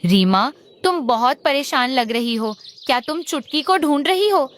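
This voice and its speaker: A woman's voice: high-pitched, lively intonation, unhurried, a little hiss or hum. A woman voicing a kind, reassuring mother bird in a cartoon story. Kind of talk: Hindi cartoon story dialogue.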